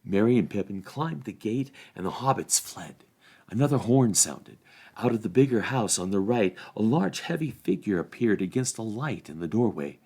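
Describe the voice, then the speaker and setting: A man reading a fantasy storybook aloud as an expressive narrator. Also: on the low side, moderate pace, slightly rough. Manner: acts out dialogue with changed voices. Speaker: volunteer story reader.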